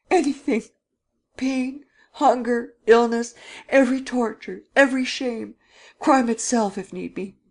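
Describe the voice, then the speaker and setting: A woman's voice: fairly high pitch, dark tone, pitched above the performer's own voice. A woman voicing a frightened young man in an audiobook, speaking in faltering, pleading, stuttering tones.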